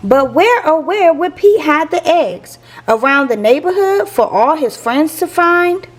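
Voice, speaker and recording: A woman reading a children's picture book aloud in an expressive, storytelling way for young listeners. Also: high-pitched, unhurried, faint music behind. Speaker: teacher reading picture books for a channel.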